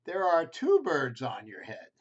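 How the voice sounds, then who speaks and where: A man voicing a cheerful, calm little female pig in a storybook read-aloud, upbeat and patient. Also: medium pitch, measured pace. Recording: slightly muffled home video audio.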